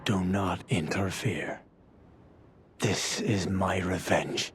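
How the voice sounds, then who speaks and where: An actor playing a proud, brooding, contemptuous horned warrior out for revenge in a fantasy game, with archaic diction. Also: male voice, low-pitched, fairly even intonation, a little hiss or hum. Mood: grim warning. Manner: slow, formal, scornful archaic diction. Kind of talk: dramatic fantasy game acting. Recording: very loud music behind the voice. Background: loud game music.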